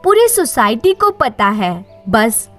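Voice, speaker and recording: A woman's voice: on the high side, big pitch swings, takes it slowly, faint music behind, voice pushed down. A woman voicing a knowing young sister-in-law in a story, frank and pointed.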